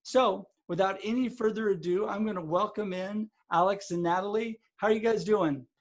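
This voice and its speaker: A man's voice: high voice, moderate pace. A man hosting a podcast, upbeat and promotional as he introduces his guests. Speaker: podcast host.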